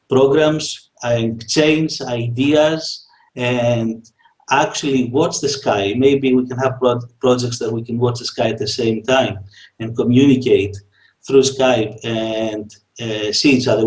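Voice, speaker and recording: A man of medium pitch speaks earnestly, hesitating with "uh" and "um" as he offers a friendly greeting. His voice echoes around the room. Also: darkish tone. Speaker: astronomy club member. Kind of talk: recorded greeting message.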